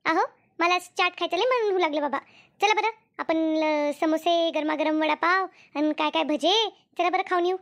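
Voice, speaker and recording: A woman's voice: very high-pitched, moderate pace, a bit bright. A woman voicing a greedy, stubborn, whiny village housewife in a comedy cartoon, with a rural Maharashtrian Marathi accent. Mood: coaxing. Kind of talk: exaggerated comic cartoon dialogue.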